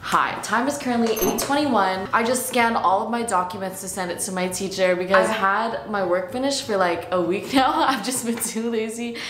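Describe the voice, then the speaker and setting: A woman's voice: medium pitch, moderate pace, hardly any pauses, fairly bright tone, faint music behind. A 19-year-old woman from Canada with a North American accent, chatting casually to a handheld camera in a slangy, candid, self-deprecating way. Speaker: vlogger, high school student. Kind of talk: casual chatty daily vlog. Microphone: handheld camera at arm's length.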